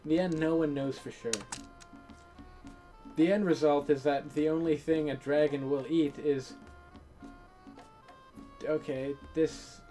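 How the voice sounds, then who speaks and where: A woman's voice: low-pitched, steady tone, a little slow, pauses often, dark tone, soft background music. A woman reading a visual novel aloud and adding casual commentary as host of a gaming video.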